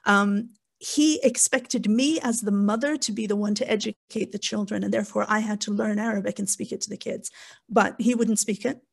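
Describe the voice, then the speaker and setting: A woman's voice: medium pitch, steady tone, moderate pace, vocal fry, slightly rough. A frank woman talking in a panel discussion, rambling through restarts and asides. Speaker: panel guest. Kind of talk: candid conversational discussion.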